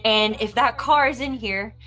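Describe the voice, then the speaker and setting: A woman's voice: fairly high pitch, normal speed, pushed and bright, soft background music. A woman playing a tough, blunt, foul-mouthed cop wounded by her past, in a film script read-through. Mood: determined. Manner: earnest, blunt, swearing.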